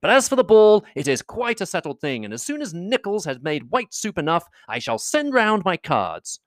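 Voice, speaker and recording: Easygoing young gentleman, voiced by a man; breezy, dry recording. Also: high-pitched, very animated, normal speed, dry recording, pitched above the performer's own voice.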